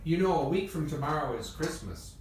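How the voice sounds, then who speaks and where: A woman's voice: low-pitched, expressive, brisk. A woman playing a practical, encouraging male inventor in an amateur family radio play, with earnest, declaimed lines. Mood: calm, practical. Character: Wilbur Wright, practical, encouraging brother and inventor. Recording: echoey room, distant mic, noisy hiss.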